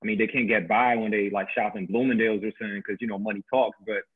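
A man's voice: on the low side, steady tone, fast talker, husky. Queens man with a New York accent. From born in Korea, raised in Queens. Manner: laid-back, heavy fillers like "you know". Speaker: contest finalist guest. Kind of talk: casual video call chat. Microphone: video call. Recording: tinny phone-like line.

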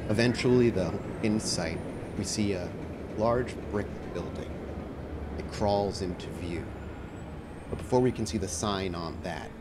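A man with a fairly low voice hosts a tabletop roleplaying show as its game master. He speaks in an informal, enthusiastic way. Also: very noisy. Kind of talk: casual announcements, then narrating a tabletop game.

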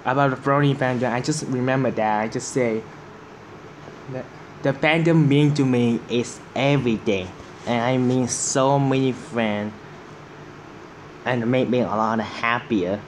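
A seventeen-year-old man from Vietnam, reacting casually in halting English and repeating phrases. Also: medium pitch, a little hiss or hum. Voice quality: non-native, halting English. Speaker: reaction video host.

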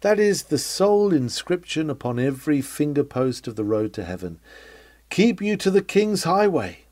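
A man's voice: on the high side, big pitch swings, moderate pace, darkish tone, no room sound. A man reading a historical sermon aloud in an earnest, exhortatory and rhetorical manner, with dramatic delivery.

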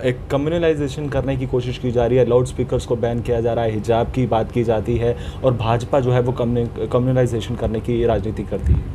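A man's voice: medium pitch, steady tone, a little fast, few pauses. A man, speaking formally and wordily as a local news interviewer. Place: small indoor office, seated on a sofa. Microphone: handheld reporter mic. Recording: light background room noise.

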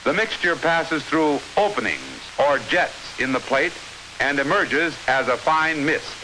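American guy narrating an old 50s training film, crisp authoritative delivery, lively pitch, bit of hiss on the soundtrack. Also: measured pace, low-quality recording. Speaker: narrator of a navy instructional film. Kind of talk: 1950s instructional film narration, scripted. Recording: hissy 1950s film soundtrack.

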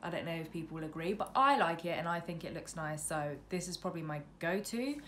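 A woman's voice: fairly low pitch, expressive, fast talker, a bit bright. A woman in her mid-twenties with a British accent, chatting casually to camera as a vlogger in an informal, self-deprecating way. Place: indoors, bedroom at a window facing a road. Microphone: camera on windowsill, about arm's length. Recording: noisy room by a busy road. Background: noise, passing traffic.

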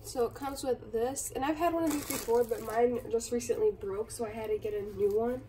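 Young woman, raspy sick voice, slightly high, chatty and apologetic, off-mic phone recording with audible hiss. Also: fairly even intonation, brisk, mellow, far from the mic. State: hoarse from being sick. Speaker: vlogger. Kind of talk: casual unboxing chat. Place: carpeted bedroom floor. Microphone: phone camera filming from above.

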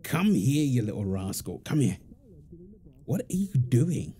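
Man as young husband and dad for intimate roleplay audio: very soft dark tone, teasing and affectionate, animated pitch. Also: measured pace. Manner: teasing, affectionate, chuckling banter. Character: playful teasing young husband and doting father. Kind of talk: intimate domestic ASMR roleplay.

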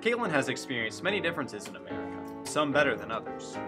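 A young man student reporter narrating a segment in a brief, even way. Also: mid-range voice, quick pace, over music. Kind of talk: scripted narration.

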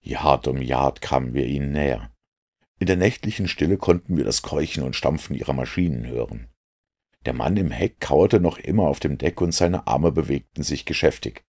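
A man with a gravelly, husky voice reading literary narration as an audiobook. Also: a bass voice, normal speed, darkish tone. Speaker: audiobook reader.

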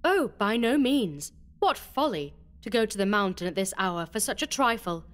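A man voicing a cold, ironic young noblewoman in a storybook reading, in a raised falsetto. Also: lively intonation, moderate pace. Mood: mocking. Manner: cool, mocking, falsetto.

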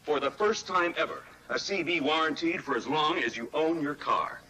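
An American man delivers a scripted 1970s commercial pitch. His mid-pitched voice is bright and forceful, with a rough, husky edge. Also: normal speed, low-quality recording. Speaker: commercial announcer.

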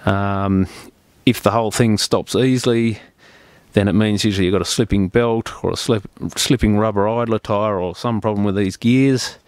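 Low-voiced man giving a practical repair demonstration. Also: fairly even intonation, moderate pace, darkish tone, dry recording. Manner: plain-spoken, practical, step-by-step explaining. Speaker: repair technician presenting a tutorial. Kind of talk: unscripted hands-on repair demonstration.

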